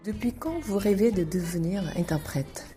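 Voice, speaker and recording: A woman's voice: medium pitch, normal speed, mellow, soft background music. A woman host asking polite, formal interview questions. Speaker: radio host.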